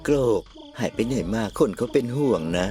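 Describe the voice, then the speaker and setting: A man voicing a calm, reasonable ghost friend in a cartoon comedy, talking in a patient, placating way. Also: mid-range voice, normal speed, natural voice. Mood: concerned, placating.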